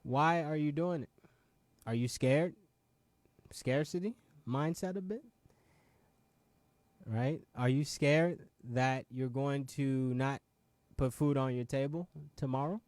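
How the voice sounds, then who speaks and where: A man's voice: mid-range voice, slow, long pauses, a little mellow, no room sound. A man in his late twenties, originally from Ghana, hosting his own show and giving a motivational talk, pressing viewers with repeated rhetorical questions and frequent "right?" asides. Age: 20s. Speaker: show host.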